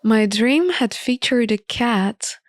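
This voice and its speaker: A woman narrating a visual novel in an expressive, measured storybook style. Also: fairly high pitch, no room sound, clean studio sound. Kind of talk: expressive storybook-like reading of game text. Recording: music behind the voice. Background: music.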